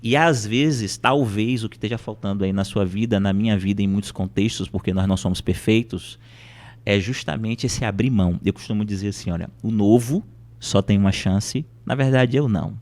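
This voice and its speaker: Man, Brazilian accent, lower voice, didactic, motivational, rhetorical questions, podcast guest. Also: brisk, a little mellow. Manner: didactic, uses rhetorical questions and emphatic repetition. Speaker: podcast guest, psychotherapist and speaker. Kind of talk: conversational motivational explanation.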